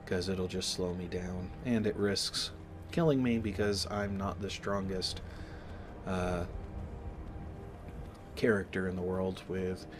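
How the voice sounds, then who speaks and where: A low-pitched man hosts a casual gaming video with a slight cold in his voice. He explains things deliberately, stopping and restarting, over clear background music. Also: steady tone. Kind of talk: casual let's-play commentary with in-character recaps. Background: game music.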